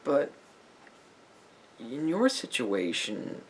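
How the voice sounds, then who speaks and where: A man playing a calm, patient therapist in a roleplay, gently questioning a client in a measured, hesitant way with long pauses. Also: medium pitch, a little hiss or hum, heavily acted. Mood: firm, explaining. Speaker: ASMR roleplay performer. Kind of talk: therapist roleplay ASMR, addressing the listener.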